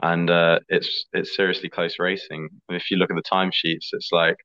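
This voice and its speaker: A young man, an athlete answering interview questions in a relaxed, chatty way. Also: sits low, moderate pace, smooth. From England. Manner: relaxed, chatty, fillers like "like" and "sort of". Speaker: skeleton athlete. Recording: slightly dull lo-fi audio.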